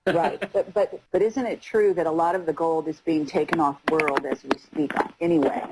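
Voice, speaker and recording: A woman hosting a live radio interview, speaking in halting phrases with repeated words. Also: low voice, fairly even intonation, very noisy. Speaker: radio show host.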